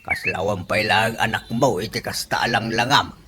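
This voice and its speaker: A woman's voice: a bass voice, moderate pace, noisy background. A woman playing a good-natured, teasing father of fifty in an Ilocano-accented radio comedy, given to exclamations. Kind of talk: comedy radio drama acting.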